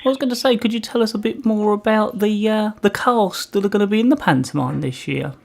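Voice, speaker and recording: A woman's voice: medium pitch, fairly quick, creaky, darkish tone. A woman hosting a community radio interview, putting questions in a conversational way.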